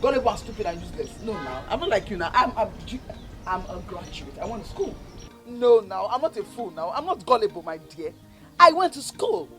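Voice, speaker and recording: A woman's voice: mid-range voice, very animated, fairly quick, faint music behind. An actress playing a scornful older sister who bullies and accuses her sibling. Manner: taunting, shouting insults.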